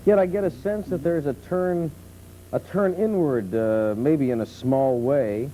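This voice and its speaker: A man hosting a talk show, putting halting, musing questions to his guest in a conversational way. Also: fairly high pitch, mellow. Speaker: talk show host, interviewer. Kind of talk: conversational interviewing. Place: television studio with audience. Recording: muffled 1970s television tape with hum.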